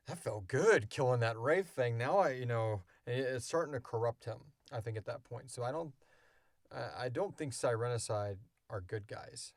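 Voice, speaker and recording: A man's voice: mid-range voice, moderate pace, no room sound, studio quality. A man hosting a podcast, answering questions in casual, unscripted talk from a small booth. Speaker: podcast host and creator. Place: small enclosed recording booth.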